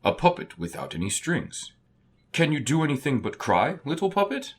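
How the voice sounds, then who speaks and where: A man voicing a bombastic, domineering puppet master villain in a storybook, loud and theatrical. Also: a little high, very animated, moderate pace, slightly rough, voice pushed up. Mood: sly.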